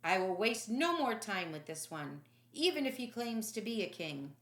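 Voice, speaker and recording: A scornful, imperious ancient ruler from a storybook, acted by a woman. He is jaded and taunting, with animated pitch and an echoing room around the voice. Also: normal speed, lightly acted. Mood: dismissive, calling out.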